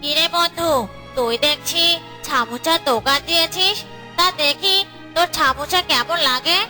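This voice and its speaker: Friendly cartoon monkey pal, shrill and very high, exaggerated wonder, faint music. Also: female voice, measured pace, sharp tone, exaggerated voice. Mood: curious. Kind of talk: Bengali cartoon voice acting.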